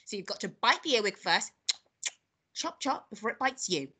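A woman's voice: medium pitch, big pitch swings, moderate pace, bright, forceful tone, breathy edge, full character voice. A woman voicing a wicked, snappish little old grandmother in a storybook, bossy, scolding and relishing nasty things. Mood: gloating.

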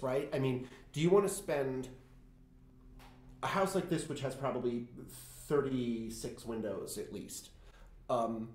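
A man's voice: medium pitch, a little mellow, roomy sound. A man in his forties hosting a museum talk in a historic house parlor, explaining with emphasis. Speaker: museum host. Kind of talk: panel conversation.

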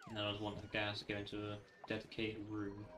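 A man's voice: lowish voice, completely flat delivery, a little fast. A man giving casual gameplay commentary, thinking aloud in a rambling way and reading game text out. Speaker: gaming video host. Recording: roomy echo, faint music behind.

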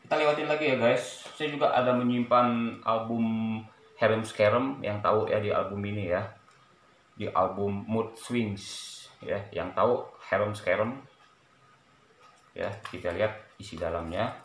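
A middle-aged man chatting casually in a halting way, as a vlogger showing items in a small room at home. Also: on the low side, fairly even intonation. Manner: halting, repeats short phrases with frequent "ya" tags. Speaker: vlogger showing a cassette collection. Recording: echoey room, clear background noise.

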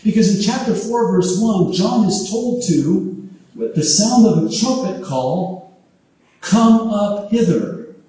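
Man preaching, Arabic first language, emphatic, high voice, mellow tone. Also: expressive, slow pace. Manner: emphatic, rises to high exclamations. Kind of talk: prophecy sermon. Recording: echoey hall from far off.

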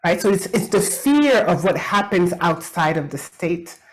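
A middle-aged woman, French her first language, speaks on a webcam call from a home office. Her voice sits a little low, and she picks her words slowly, with restarts and fillers as she works through ideas. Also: sing-song, poor audio. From Quebec. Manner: thoughtful, with frequent fillers and restarts. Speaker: academic discussant. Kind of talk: academic commentary on a book. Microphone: video call webcam.

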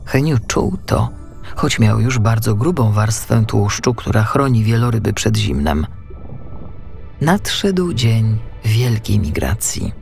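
Male children's audiobook narrator, lively intonation, a creaky edge, music underneath. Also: fairly low pitch. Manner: expressive, unhurried storytelling. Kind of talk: scripted storybook narration for children.

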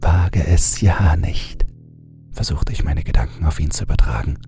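A man narrating a horror audiobook in a hushed, often whispering manner, with music underneath. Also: low voice, animated, moderate pace, gravelly.

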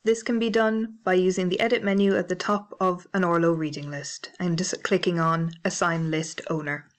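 A woman with a British accent narrating a library software tutorial, clear and methodical, spelling out codes letter by letter. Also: on the low side, steady tone, moderate pace. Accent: British English. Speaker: library staff trainer. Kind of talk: instructional screencast narration.

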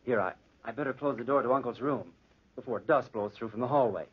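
An actor playing a young man who murdered his uncle, a guilt-crazed nephew in a 1940s radio drama whose feigned calm cracks into frantic whispers and shouts. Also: medium pitch, fast, breathy edge, natural voice. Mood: smooth, composed. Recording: muffled old radio broadcast.

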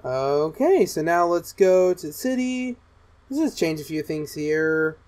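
A woman playing an excitable, mischievous gamer girl streamer who gleefully torments people in a livestream roleplay. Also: deep voice, unhurried. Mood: mischievous, smug.

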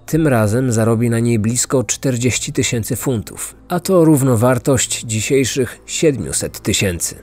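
A man narrating a scripted true-crime story as a podcast host, with dramatic, measured phrasing. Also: mid-range voice, lively intonation, a little mellow. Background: music.

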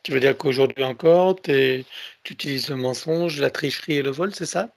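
A man in his sixties, a hypnotherapist on a headset video call, asking calm probing questions between short acknowledgments. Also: medium pitch, normal speed, creaky. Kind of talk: therapy intake interview.

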